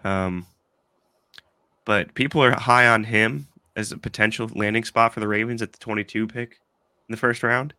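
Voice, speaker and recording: A man's voice: lowish voice, fairly even intonation, moderate pace, bright, forceful tone, clean tone, dry recording, studio quality. Congested man hosting a sports podcast. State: congested from allergies. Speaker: podcast host. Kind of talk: casual sports chat.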